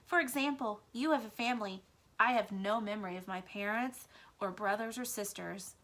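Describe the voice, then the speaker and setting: A woman's voice: mid-range voice, normal speed, fairly bright tone, close to an everyday voice. A woman voicing a timid, earnest male pet mouse with hesitant, formal, plaintive speech in a children's story. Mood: sad, earnest. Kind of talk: children's book read-aloud.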